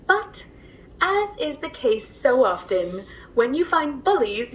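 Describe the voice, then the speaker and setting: A woman's voice: a little high, poor audio. A woman playing an earnest, polite logistics droid who speaks formally and tells bedtime stories to a passenger. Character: earnest, grateful female logistics droid, eager bedtime storyteller. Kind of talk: scripted character dialogue and storytelling.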